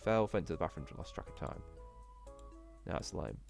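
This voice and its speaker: Low-voiced man reading a visual novel aloud. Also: sing-song, normal speed, vocal fry, faint music behind. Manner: stumbling over read text, blunt indignant asides. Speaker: let's play host.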